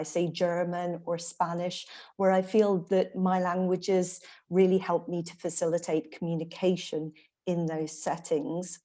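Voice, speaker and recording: A middle-aged woman who works as a staff interpreter, giving a measured panel talk with frequent fillers. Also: lowish voice, dark tone. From Ireland.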